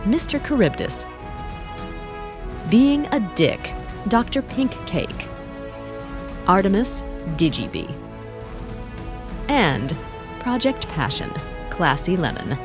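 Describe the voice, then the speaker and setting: An announcer reading out lists of award nominees slowly. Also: mid-range voice, big pitch swings. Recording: music underneath.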